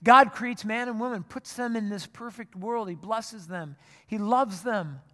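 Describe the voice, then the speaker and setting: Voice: mid-range voice, measured pace, a bit bright. A pastor giving an earnest, conversational sermon, often restarting words as the thought forms. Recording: long reverb of a large hall.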